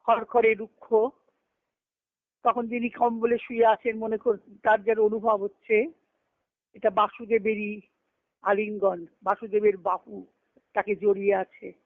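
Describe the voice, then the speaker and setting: A woman giving a devotional discourse on a conference call, telling stories earnestly and slowing down to recite scripture verses. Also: mid-range voice, flat delivery, no echo. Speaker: spiritual discourse speaker. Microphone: phone on a conference call. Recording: tinny phone line, dry.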